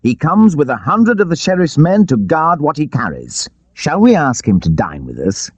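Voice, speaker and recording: An actor playing a bold, gleeful outlaw leader giving rousing, declamatory orders in an audiobook adventure. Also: male voice, a little high, wildly swooping pitch, normal speed, husky, voice pushed up, exaggerated voice. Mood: rousing, commanding.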